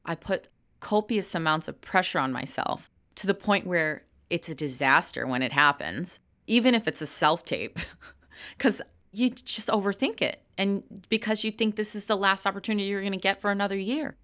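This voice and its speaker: A woman's voice: mid-range voice, expressive, moderate pace, fairly bright tone. A woman in her thirties, an actor and comedian, answering interview questions frankly and laughing easily. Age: 30s.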